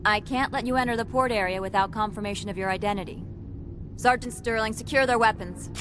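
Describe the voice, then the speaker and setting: A woman's voice: on the high side, fast talker, lightly acted. A woman playing a stern, rule-bound security captain in a video game, curt and official. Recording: clear ambient noise behind.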